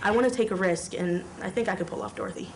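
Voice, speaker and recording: A woman's voice: mid-range voice, quick pace, recorded from a distance, slight background noise. A teenage girl auditioning for a school musical, coaching a friend through a song and talking earnestly about taking a risk. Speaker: student auditioning. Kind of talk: interview and singing coaching.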